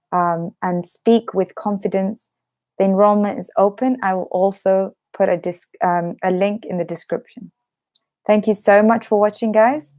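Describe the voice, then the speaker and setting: A young British woman in her twenties or thirties teaching a live English lesson. She has a slight cough and explains slowly and chattily, repeating her points and filling pauses with "um". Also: medium pitch, darkish tone. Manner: chatty, explains slowly with repetition and many fillers.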